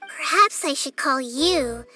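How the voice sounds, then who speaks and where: Very high childlike fantasy game girl. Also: takes it slowly, bright, forceful tone, exaggerated voice. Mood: calm, assured. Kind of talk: video game voice acting. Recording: game music behind the voice.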